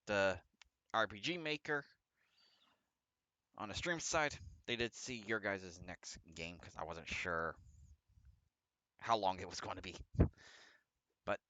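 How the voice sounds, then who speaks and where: Bright-voiced man reading a game aloud. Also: lowish voice, no room sound. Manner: stumbles over read text and corrects himself. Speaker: let's play host. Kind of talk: reading a visual novel aloud with casual gaming commentary. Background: background music.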